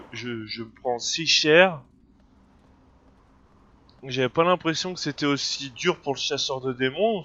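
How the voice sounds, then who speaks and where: A man's voice: mid-range voice, bright, forceful tone, highs cut off. A man with a head cold talking through a video game, hesitant and full of fillers, puzzling over what happens. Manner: hesitant, many fillers and restarts. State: has a cold. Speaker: gameplay video creator. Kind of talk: casual gameplay commentary. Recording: noisy home recording.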